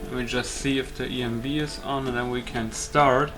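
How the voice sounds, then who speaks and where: A man's voice: medium pitch, steady tone, moderate pace. A man giving casual, informal and hesitant let's play commentary on his own gameplay. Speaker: gamer commentating on own playthrough. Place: voice-over on gameplay. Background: loud game music.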